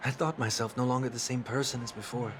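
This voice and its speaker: A man voicing a solemn, refined fallen gentleman in a video game, wistful and courteous, with formal, measured phrasing. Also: mid-range voice, steady tone, natural voice. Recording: game music behind the voice. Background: game soundtrack music.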